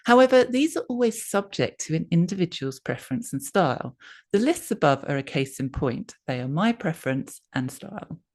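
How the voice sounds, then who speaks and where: A woman reading a written advice article aloud as scripted narration, in measured, clearly phrased sentences. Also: sits low, very animated, a little mellow.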